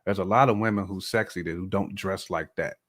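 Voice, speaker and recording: Low-voiced American man, blunt and slangy. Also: steady tone, brisk, a bit bright. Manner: slangy, blunt, emphatic, repeats phrases. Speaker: podcast co-host. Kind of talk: casual opinionated relationship chat.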